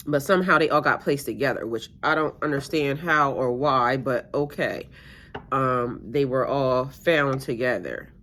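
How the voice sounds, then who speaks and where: A middle-aged woman chats to camera as a tarot reader, drifting from thought to thought with plenty of ums and restarts. Her nose sounds a bit stuffy. She sits at home with a faint hiss behind her. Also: rumbling low. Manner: meandering, with many fillers and restarts. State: slightly stuffy-nosed. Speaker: tarot reader and spiritual coach. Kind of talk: casual rambling monologue to camera.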